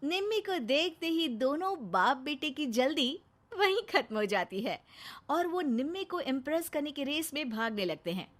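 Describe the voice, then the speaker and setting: Woman telling a bedtime cartoon story, animated, highish voice. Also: normal speed. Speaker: narrator. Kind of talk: storybook narration.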